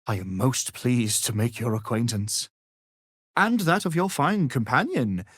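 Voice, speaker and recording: Casting: scheming video game wizard, condescending and theatrical, unhurried delivery, very dry close sound. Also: male voice, mid-range voice, lively intonation, a bit bright, slightly rough, dry recording. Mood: falsely courteous. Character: haughty, scheming adult male wizard, vain and menacing. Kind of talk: video game fantasy acting.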